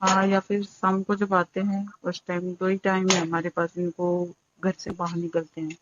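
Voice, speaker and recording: A woman's voice: fairly low pitch, little pitch movement, moderate pace, background noise. A woman talking bluntly on a private phone call. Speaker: caller in leaked phone recording. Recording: dull lo-fi phone audio.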